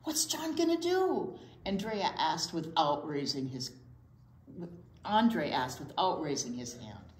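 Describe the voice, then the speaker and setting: Older woman reading aloud, lively but unhurried. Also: deep voice. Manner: expressive, often dropping to a hushed near-whisper. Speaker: children's library storytime reader. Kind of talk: picture book read-aloud with asides to children. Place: indoor children's library storytime corner. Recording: roomy echo, faint background noise.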